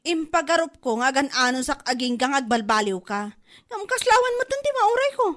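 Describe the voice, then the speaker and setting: High, expressive Ilocano fed-up wife played by a woman. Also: normal speed. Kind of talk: comedy drama podcast acting.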